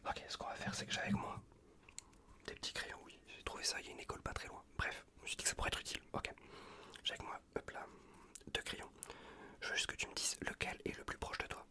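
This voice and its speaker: A man whispering close to the microphone in an ASMR exam roleplay, giving casual, reassuring instructions in a breathy voice with soft repetitions. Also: quick pace. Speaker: ASMR performer playing an improvised examiner. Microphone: close USB condenser microphone.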